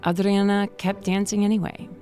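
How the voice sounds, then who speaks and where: A woman in her forties, hosting a podcast and narrating a personal story in a calm, reflective way. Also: mid-range voice, moderate pace, faint music behind. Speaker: podcast host.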